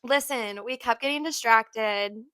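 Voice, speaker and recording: A young woman co-hosting a casual podcast, slightly hoarse from talking, gossiping with a sarcastic edge and frequent laughter. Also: a little high, a little slow, a bit bright, no room sound. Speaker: podcast co-host. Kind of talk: casual chat.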